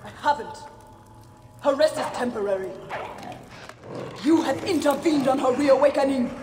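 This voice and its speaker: A woman voicing a grieving, vengeful mother who guards her daughter's tomb, with fierce, shrill outbursts, in an echoing underground tomb. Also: moderate pace, close to an everyday voice. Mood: defiant. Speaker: tomb ritualist. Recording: echoey cavernous hall with noise.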